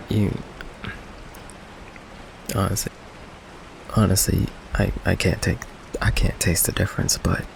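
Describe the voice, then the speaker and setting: A man playing an affectionate but evasive goth vampire boyfriend in an ASMR roleplay, talking haltingly with nervous stammers. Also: deep voice, creaky, slight background noise, low-quality recording. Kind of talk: ASMR roleplay, intimate one-sided conversation. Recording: rain ambience behind close voice.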